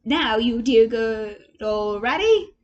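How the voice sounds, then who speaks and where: A storybook toad gentleman, acted out by a woman, boastful and bossy. The voice is high and leaps about theatrically as it wheedles and gushes. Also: animated, slow pace, highs cut off. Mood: imploring, bossy. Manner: imploring, theatrical, gushing. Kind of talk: storybook character voice.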